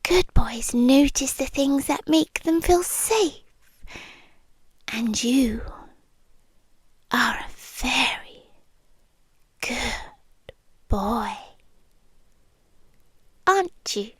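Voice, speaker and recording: A woman plays a British vampire girlfriend in an intimate ASMR roleplay, her voice high and extremely slow. She is gentle and coaxing, yet possessive and quietly menacing. Her soft words linger and often drop into whispers, very close and dry on the mic. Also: lively intonation, husky, no echo, heavily acted. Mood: soothing, controlling. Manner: soft, deliberate, lingering pauses, slipping into whispers. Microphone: close microphone, dry.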